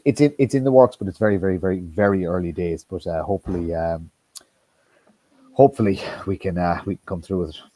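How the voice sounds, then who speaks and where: Deep dark-voiced man, halting. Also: dry recording. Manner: halting, many restarts and ums, blunt with swearing. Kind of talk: casual political discussion.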